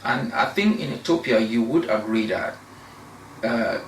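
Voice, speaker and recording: A young man from Cameroon, a law researcher, giving measured, argumentative answers as an interview guest, with pauses and restarts. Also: mid-range voice, expressive, recorded from a distance. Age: young adult. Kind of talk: interview discussion. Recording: echoey room, voice off-mic, faint noise.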